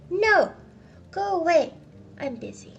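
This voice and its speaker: A woman's voice: fairly high pitch, expressive, moderate pace, dark tone, slight background noise. A woman voicing a cold, mean old miser in an audiobook, curt, scornful and emphatic. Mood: angry, dismissive.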